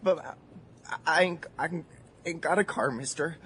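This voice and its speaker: An actor playing a scared, simple young rural store clerk in an audio horror drama, pleading in folksy, ungrammatical speech. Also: male voice, a little high, moderate pace, bright, forceful tone, a little hiss or hum. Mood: nervous, apologetic.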